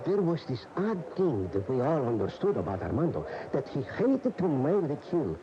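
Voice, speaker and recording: An actor in a radio drama playing an emotional bullfight devotee, recalling his idol reverently with dramatic, halting phrases. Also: a little high, huge pitch swings, crowd noise. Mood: admiring. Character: admiring bullfight aficionado reminiscing, emotional and reverent. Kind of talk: 1980 radio drama monologue, interview-like recollection. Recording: dull, noisy 1980 AM radio recording.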